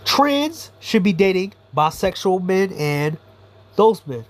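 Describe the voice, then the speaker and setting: A man's voice: high voice, sing-song, slow. A man giving a blunt, profane, rambling commentary monologue as a YouTuber.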